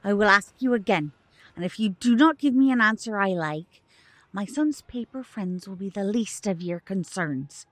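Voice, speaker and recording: Woman as protective magician mother, audio drama, formal and measured, gracious, quietly suspicious, medium pitch. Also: expressive, dry recording, close to an everyday voice. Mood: coolly menacing.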